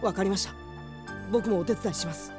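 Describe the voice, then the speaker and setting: A woman playing an earnest, loyal teenage boy mage in an anime audio drama, speaking softly and politely. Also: mid-range voice, a little fast, close to an everyday voice. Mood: weary devotion. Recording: background music under the voice.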